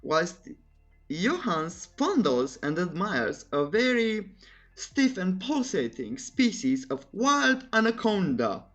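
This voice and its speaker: A woman's voice: mid-range voice, big pitch swings, slow, highs cut off, soft background music. A woman narrating a scripted story recap in a cheeky, tongue-in-cheek way with playful asides.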